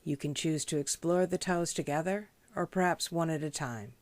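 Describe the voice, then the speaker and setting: A woman leading a guided meditation as a teacher, speaking in a gentle, measured, instructional way. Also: deep voice, no echo. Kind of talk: guided body scan meditation.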